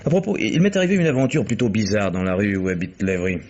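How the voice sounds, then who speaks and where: An actor playing a wry, unflappable private detective with a sardonic, measured delivery in a French radio crime drama. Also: male voice, lowish voice, sing-song, darkish tone. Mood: matter-of-fact. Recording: dull, noisy old radio recording.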